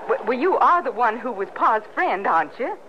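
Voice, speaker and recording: A woman's voice: fairly high pitch, big pitch swings, normal speed. An actress playing a guarded but hospitable young American frontier woman in an old-time radio western. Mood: earnest, welcoming. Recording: muffled vintage radio recording with noise.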